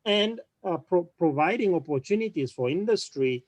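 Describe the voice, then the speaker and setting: A man speaking on an online panel, talking quickly with frequent restarts and repeated words. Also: sits high, lively intonation, a little mellow, no echo, dull audio.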